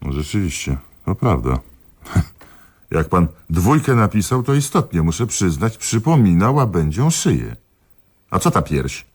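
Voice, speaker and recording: Very deep, husky, sceptical police investigator. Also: male voice, sing-song, exaggerated voice. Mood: sceptical, probing. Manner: questioning, dry, reads verse aloud slowly. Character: dogged male police investigator, sceptical, puzzled, politely exasperated. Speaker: actor in a radio play. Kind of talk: theatrical radio comedy dialogue.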